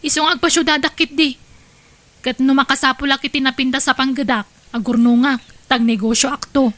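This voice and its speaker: A woman's voice: sits high, steady tone, brisk, a bit bright, no echo. A woman with an Ilocano Filipino accent playing a stubborn, ambitious young wife and mother in an audio drama. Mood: insistent, impatient.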